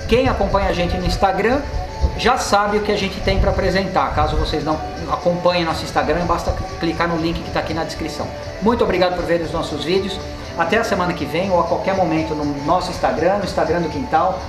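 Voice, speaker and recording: A Brazilian man in his forties, a mechanic hosting his channel, explaining repair work while gesturing at a car in an indoor workshop. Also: high-pitched. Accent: Brazilian Portuguese. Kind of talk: casual workshop vlog talk. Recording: echoey workshop with music underneath.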